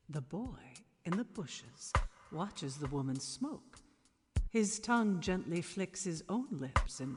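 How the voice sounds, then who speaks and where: Audio play: emphatic narrator reading stage directions, slowish and swooping, heavily reverberant. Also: female voice, deep voice. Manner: deliberate, dramatic, emphatic. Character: theatrical female screenplay narrator calling out shots and actions. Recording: long reverb under music.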